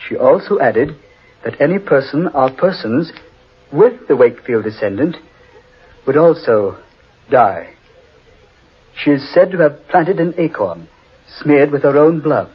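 A man playing an earnest, uneasy gentleman adventurer in 1940s radio horror, speaking with dramatic gravity. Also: a little high, sing-song, measured pace, long pauses, slightly rough, heavily acted. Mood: grave. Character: Danvers, uneasy gentleman adventurer, grimly telling a legend. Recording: muffled old radio recording, music behind.

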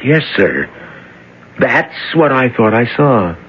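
Intern, deferential, lively intonation, husky, old radio play. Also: male voice, mid-range voice, takes it slowly, full character voice. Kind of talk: 1970s radio drama acting. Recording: dull old radio broadcast.

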